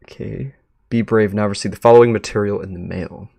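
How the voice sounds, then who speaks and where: Man, lowish voice, casual live reaction, informal, musing, chuckly. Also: steady tone, a little fast, creaky, warm tone. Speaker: streamer reacting to a horror web series.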